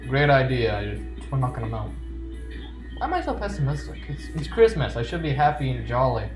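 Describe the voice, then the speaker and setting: A man's voice: mid-range voice, very animated, fairly quick. A man giving playful, joking commentary while playing a game, reading on-screen text aloud. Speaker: gamer. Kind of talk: let's play commentary. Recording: roomy echo with game music. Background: game music.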